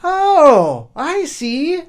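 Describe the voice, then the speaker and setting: A male storyteller voicing a foolish, forgetful young servant boy in a high, eager voice. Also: huge pitch swings, very slow, heavily acted. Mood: eager, innocent. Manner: eager, high squeaky boyish voice. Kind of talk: storyteller's character voice.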